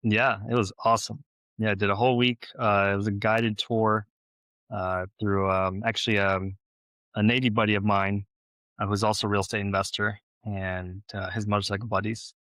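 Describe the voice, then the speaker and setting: A man's voice: lowish voice, little pitch movement, moderate pace, fairly bright tone, dry recording. A man who grew up in Los Angeles, answering questions conversationally as an interview guest. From born in Ukraine, grew up in Los Angeles, United States. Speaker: podcast guest, real estate investor and former Navy officer.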